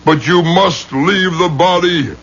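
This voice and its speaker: An actor playing a solemn rabbi exorcist with deliberate, incantatory speech in a 1970s radio drama. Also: male voice, sits high. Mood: commanding, solemn. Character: weary, solemn rabbi exorcist, commanding with spirits. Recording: dull, noisy 1970s radio broadcast.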